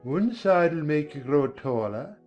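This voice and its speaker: Actor as haughty storybook caterpillar: terse, contrary, drawn-out delivery, mid pitch, muffled sound over music. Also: lively intonation, lightly acted. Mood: decided, irritated. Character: curt, contrary, haughty caterpillar smoking a hookah. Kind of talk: dramatized audiobook character acting.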